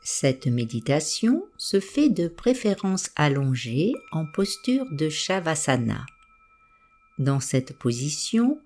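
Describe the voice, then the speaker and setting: A woman with a very low, soft-edged mellow voice leads a guided meditation. She gives soothing instructions at a very slow pace, with a gentle melodic rise and fall in her phrasing.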